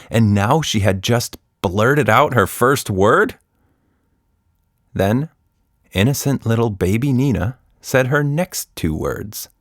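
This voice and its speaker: A man's voice: mid-range voice, no echo, studio quality. A man telling a story for children as its author, playfully teasing and speaking straight to the listener. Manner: animated, teasing, talks directly to the listener.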